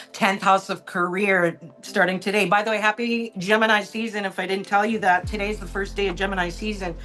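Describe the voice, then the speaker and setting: A woman's voice: mid-range voice, steady tone, fairly quick, few pauses, fairly bright tone, soft background music. A woman hosting an online meetup, upbeat and chatty, talking about astrology on a video call. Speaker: meetup host. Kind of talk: casual group chat on a video call.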